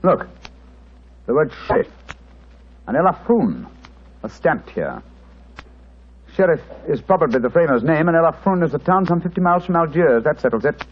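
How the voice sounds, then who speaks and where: An actor playing a brisk, analytical English gentleman detective with a British accent, clipped and precise, in an old radio drama. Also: a little high, very animated, husky, muffled recording. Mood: keen, decisive. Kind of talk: 1940s radio drama acting. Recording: vintage 1940s radio recording.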